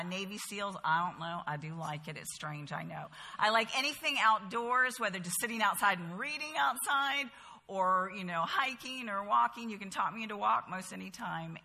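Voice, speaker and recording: A woman's voice: mid-range voice, pushed and bright. A woman in her fifties with a light Southern accent, teaching a women's Bible study in a chatty, conversational way with humorous asides. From Bahamas, later North Carolina. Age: 50s. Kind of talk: casual talk to a group, then spoken prayer and storytelling. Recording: echoey hall.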